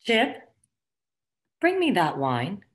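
A woman's voice: mid-range voice, wildly swooping pitch, very slow, exaggerated voice. A woman playing the imperious, condescending ghost of a socialite boss in a theatrical comic table read over video call. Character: haughty, foul-mouthed ghost of a dead socialite boss. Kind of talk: virtual table read, comic stage acting.

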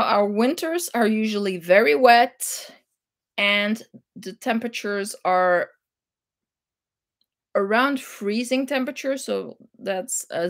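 A woman in her thirties from Belgium with a Flemish accent, rambling casually to viewers on a live stream from her craft room. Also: medium pitch. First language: Dutch. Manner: rambling, relaxed, chatty with viewers. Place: home craft room with shelves, indoors. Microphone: webcam at desk.

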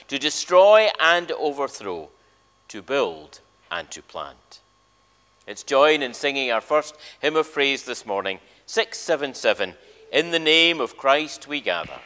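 Male minister doing a church reading, solemn and measured, mid pitch, big echoey space. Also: bright, forceful tone. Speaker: minister leading worship. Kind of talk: church service address and scripture reading. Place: large church interior. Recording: long church reverb.

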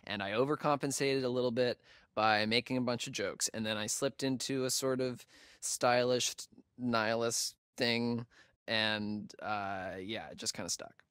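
A man's voice: medium pitch, steady tone, measured pace, fairly bright tone, clear, resonant tone, no room sound, clean studio sound, lightly acted. A young American man playing a nervous, wisecracking guy in a horror game, with a hesitant, sardonic, slangy delivery. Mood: awkward, subdued. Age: young adult. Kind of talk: naturalistic video game acting.

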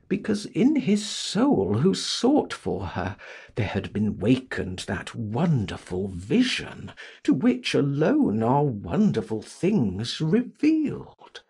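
Guy doing dramatic audiobook narration, slow n husky, very theatrical. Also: mid-range voice. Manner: theatrical, expressive, deliberate. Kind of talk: dramatic scripted literary narration.

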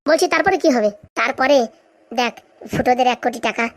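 Actress doing a greedy cartoon village schemer lady, high voice, super quick bickering chatter. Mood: scheming, bickering. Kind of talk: comedy cartoon voice acting.

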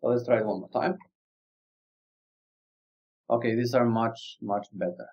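A man whose native language is Albanian gives a relaxed screen-recorded software demo, thinking aloud with frequent fillers. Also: mid-range voice, measured pace, vocal fry, breathy edge, lo-fi sound. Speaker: software company presenter. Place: indoor room, webcam corner.

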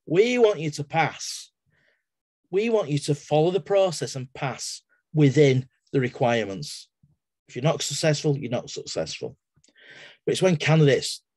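A man's voice: a little high, expressive, measured pace, pauses often, darkish tone, breathy edge, dry recording. A middle-aged man with an English accent, talking casually on a video call from his home study as a webinar speaker, digressing into everyday examples and often restarting his sentences. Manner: chatty, digressive, with everyday analogies and frequent restarts. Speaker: webinar guest speaker, exam assessor. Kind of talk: casual explanatory talk in a webinar. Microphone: laptop webcam on a video call.

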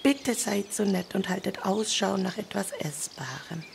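A woman's voice: mid-range voice, measured pace, creaky. A woman playing a frail, pleading old granny in a German-style fairy-tale audio story, courteous and hungry. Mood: weary pleading. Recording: noisy recording with birdsong. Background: birdsong.

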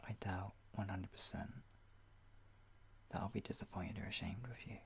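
A young man gently comforting the listener in a whispered roleplay, speaking in a soothing, caring way. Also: low-pitched, normal speed, creaky.

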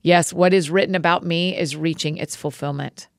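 A woman reading the words of a calm, authoritative male teacher in a measured, solemn way. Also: on the low side, creaky, no room sound, clean studio sound.

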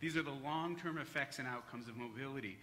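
A middle-aged man, a native English speaker, giving a relaxed conference talk at a podium with frequent fillers. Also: medium pitch, fast talker, slight background noise. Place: hotel conference hall. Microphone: podium microphone.

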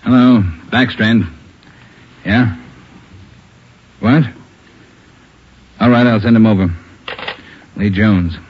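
An actor playing a brisk, businesslike male police chief in a 1950s radio drama. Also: lowish voice, slightly rough. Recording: dull old radio broadcast with faint noise.

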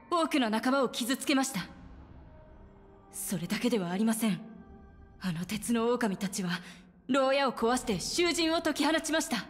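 A woman's voice: fairly high pitch, natural voice. An actress voicing a young woman officer delivering an urgent status report. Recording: game audio with faint music.

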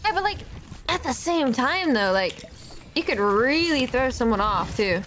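A woman's voice: sits high, animated, unhurried, a bit bright, slight background noise. A young woman streamer chatting playfully and teasing over a headset while gaming. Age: young adult. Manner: playful, teasing, laughs easily. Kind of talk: casual voice chat while gaming. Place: gaming room indoors. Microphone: headset mic.

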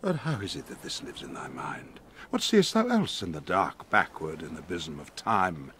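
A man playing a commanding, fatherly exiled duke and magician in a Shakespearean audio drama, emphatic and moving between hushed and loud. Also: low voice, sing-song, normal speed, a little hiss or hum, exaggerated voice. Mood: grave, intimate.

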